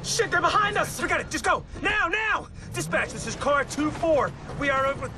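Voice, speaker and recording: Panicking patrol cop, super high and swooping, video game, loud car noise. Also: male voice, big pitch swings, moderate pace. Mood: panicked. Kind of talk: video game found-footage acting. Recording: noisy in-car camera audio, engine noise.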